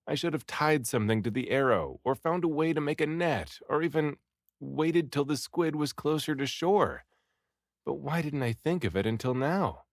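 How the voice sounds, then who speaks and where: A man voicing a storybook castaway, excitable and self-doubting, who exclaims and mutters dramatically to himself. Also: fairly high pitch, normal speed, dry recording, clean studio sound, pitched above the performer's own voice. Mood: frustrated. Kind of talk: storybook character dialogue.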